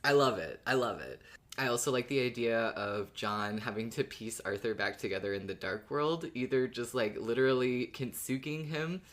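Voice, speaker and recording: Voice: medium pitch, normal speed. Casual podcast co-host, mid-range voice. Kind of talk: casual fan discussion podcast.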